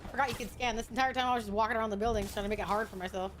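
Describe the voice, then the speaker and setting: A young woman streaming a game, chatting casually with viewers, excitable and swearing freely as she plays. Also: medium pitch, rapid-fire, a little hiss or hum. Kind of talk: casual gameplay commentary.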